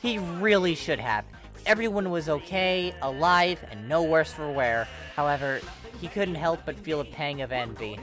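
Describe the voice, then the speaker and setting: Fan fiction narrator, mid-pitched, informal and wry with dramatic comic delivery, over prominent game music. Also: animated, normal speed, a bit bright. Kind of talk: expressive comedic story narration. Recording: background music under the voice. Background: video game style music.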